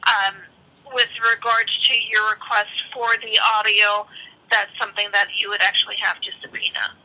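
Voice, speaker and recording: A woman's voice: medium pitch, level tone, moderate pace, pushed and bright. A woman on a noisy telephone line, speaking politely and apologetically as a customer service manager following procedure. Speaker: bank escalations manager. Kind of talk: customer service phone call. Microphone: far end of a phone call.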